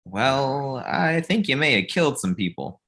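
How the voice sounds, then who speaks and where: A man's voice: mid-range voice, sing-song, a little slow, fairly bright tone. A man playing an exasperated, worried roommate in a comedy script reading, casual and incredulous. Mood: concerned. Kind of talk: comedic script table read.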